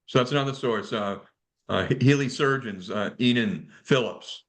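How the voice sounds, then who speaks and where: A man moderating a club technical call in a casual, halting way, often repeating words as he goes. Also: medium pitch. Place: remote conference call. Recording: conference call audio.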